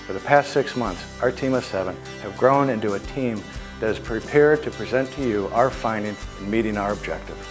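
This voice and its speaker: A man's voice: fairly low pitch, fairly quick, hardly any pauses. A man narrating a scripted introduction to a project video as a team presenter. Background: music.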